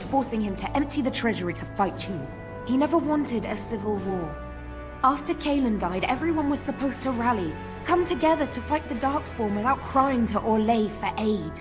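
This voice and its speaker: Woman acting stern knight over loud music. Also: on the high side, animated, moderate pace, natural voice. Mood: heated defense. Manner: formal, defiant, declamatory. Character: stern, loyal female knight defending her lord. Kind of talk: dramatic fantasy game dialogue.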